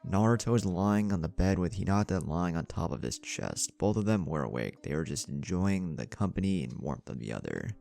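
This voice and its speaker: A man's voice: sits low, lively intonation, fairly quick, creaky, few pauses, warm tone, soft background music. A man narrating a scripted action story in a dramatic storytelling style.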